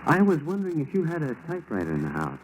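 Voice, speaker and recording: An actor playing a friendly, casual undercover FBI agent in 1940s radio style, on a noisy old recording. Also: male voice, fairly high pitch, huge pitch swings, racing.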